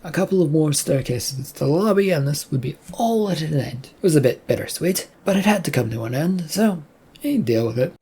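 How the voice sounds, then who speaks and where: A narrator of unclear sex reading a scripted story aloud in a husky, creaky voice. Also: mid-range voice, lively intonation, brisk, dark tone.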